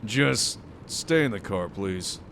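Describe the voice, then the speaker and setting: A stern academy official in a superhero audio drama. His voice is slightly low and measured. He is blunt and dry, with steady authority. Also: gravelly, slight background noise, heavily acted.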